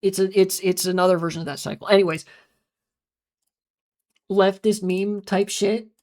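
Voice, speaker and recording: American streamer rambling to chat, swears a lot, lots of uh uh, mid pitch. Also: fairly even intonation, normal speed, a little mellow. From United States. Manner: rambling and profane, trailing off into filler sounds; reads out and answers chat messages. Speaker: live streamer. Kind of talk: casual live-stream monologue.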